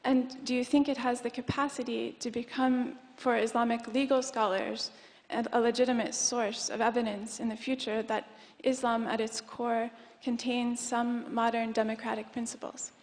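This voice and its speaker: Young female questioner, formal and prepared, slightly high, reverberant hall. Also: level tone, moderate pace. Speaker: audience questioner. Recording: echoey lecture hall.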